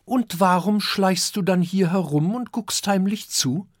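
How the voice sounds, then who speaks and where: A man's voice: no room sound, studio quality. A man doing a cheeky little boy dragon. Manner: high eager childlike voice, quick to talk back. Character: small excited boy dragon, cheeky and brave.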